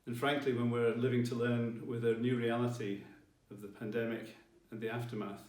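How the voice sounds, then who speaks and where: A man's voice: mid-range voice, level tone, a little fast, darkish tone. Roomy, distant man giving formal speech. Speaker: college leader addressing graduates. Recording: roomy, distant mic, faint music behind.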